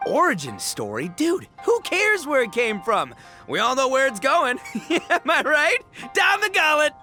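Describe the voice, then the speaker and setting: A man's voice: very high-pitched, wildly swooping pitch, a little slow, sharp tone, soft background music, heavily acted. A man voicing a brash, goofy, big-hearted young gang boss in a video game, boisterous, slangy and theatrical. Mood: impatient, cheeky. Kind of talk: video game cutscene acting.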